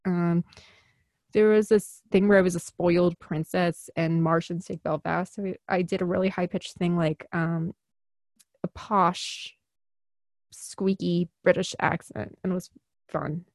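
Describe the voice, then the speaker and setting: Guest actor, woman with a Chicago accent, self-deprecating and laughing often; slightly low voice, dry recording. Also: fairly even intonation, measured pace, darkish tone, no echo. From US Midwest. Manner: chatty, giggly, self-deprecating. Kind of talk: casual podcast interview.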